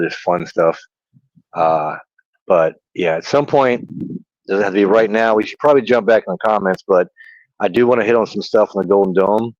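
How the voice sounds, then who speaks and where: A man's voice: sits low, darkish tone, no echo. A man co-hosting a casual livestream chat, with a slight lisp and occasional stutter, rambling with many fillers. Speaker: co-host. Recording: dry, dull lo-fi audio.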